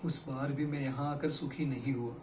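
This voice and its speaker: A man performing a theatrical monologue as a broken, regretful poet, speaking dramatically and deliberately with weighted pauses. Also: medium pitch, fairly even intonation, close to an everyday voice. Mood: sorrowful, weary. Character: broken, regretful poet confessing to his lost beloved. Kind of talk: theatrical Hindi stage monologue. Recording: echoey room, distant mic, faint noise.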